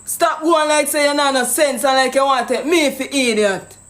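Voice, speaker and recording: A man's voice: very high voice, normal speed, fairly bright tone, roomy sound. A man with a Jamaican accent making a prank phone call, talking pushy and accusing in thick patois. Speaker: prank caller.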